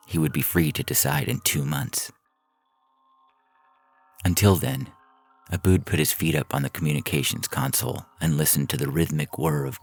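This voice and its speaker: A man's voice: very deep voice, creaky. A man reading a science fiction story as narrator in a scripted audio fiction style.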